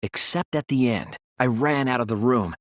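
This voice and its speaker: A man's voice: mid-range voice, dry recording, clean studio sound, natural voice. A man acting an earnest, sensitive and anxious teenage boy detective in a dramatic video game dub. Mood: grave, deductive. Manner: earnest, measured explaining that turns to strained pleading.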